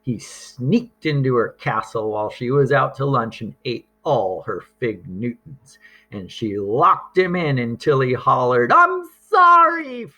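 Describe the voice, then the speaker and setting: A man reading a picture book aloud to young children, deliberate and expressive, drawing out words and pausing for drama. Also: mid-range voice.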